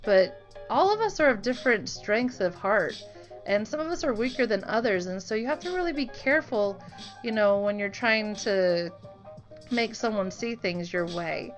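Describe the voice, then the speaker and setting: A woman's voice: medium pitch, lively intonation, normal speed. Earnest young woman talking over music. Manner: earnest, conversational. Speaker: online art channel host; teacher. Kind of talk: informal reflective talk to viewers. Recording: voice with music behind.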